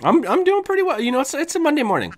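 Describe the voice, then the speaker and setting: A man with a slightly hoarse voice hosting a casual chat show, rambling in a laid-back, slangy and sometimes profane way. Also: very high voice, wildly swooping pitch, racing. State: hoarse from voice work. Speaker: show host.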